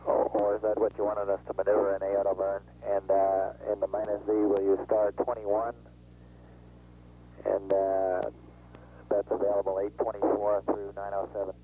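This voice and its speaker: A man's voice: fairly low pitch, completely flat delivery, normal speed. An American man, a spacecraft pilot, speaking in terse procedural readbacks over a radio transmission. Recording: crackly, phone-like air-to-ground radio.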